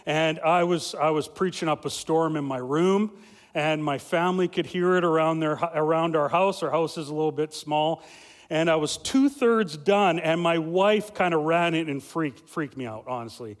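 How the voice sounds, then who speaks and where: Young adult male family pastor, loud, lively sermon with many filler-laden restarts, high voice, long church-hall reverb. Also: normal speed. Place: church sanctuary.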